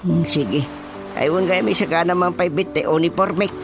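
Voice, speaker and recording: An actor playing an easygoing middle-aged father and husband in a radio drama, with an Ilocano accent and relaxed, offhand replies. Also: on the high side, normal speed, natural voice. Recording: music bed under the dialogue. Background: music.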